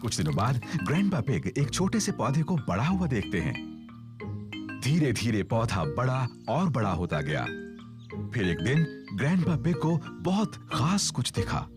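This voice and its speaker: A man's voice: medium pitch, very animated, unhurried. A man narrating a scripted children's cartoon story. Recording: music behind the voice.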